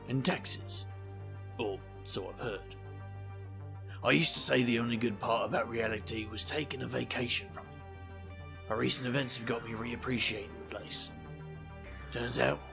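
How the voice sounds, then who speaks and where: Gravelly, flippant wisecracking investigator played by a man. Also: mid-range voice. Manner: wry, glib, theatrical. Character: flippant, scheming male supernatural investigator, boastful wisecracker. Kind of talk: audio drama narration and dialogue.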